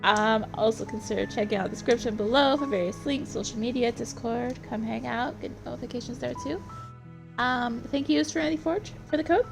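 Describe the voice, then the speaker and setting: A hoarse woman who is losing her voice, chatting casually as a gameplay streamer. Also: fairly high pitch, brisk, music in the background. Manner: chatty, reads game text aloud.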